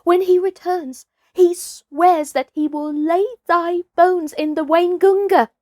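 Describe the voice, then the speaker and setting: A woman's voice: extremely high pitch, fairly even intonation, slow pace, darkish tone, no room sound, studio quality, voice pushed up. A woman voicing an anxious, loyal elder-brother wolf in a storybook, speaking in earnest, archaic phrases. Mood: urgent news-bearing. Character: eldest wolf cub brother, gruff-tender, anxious, loyal.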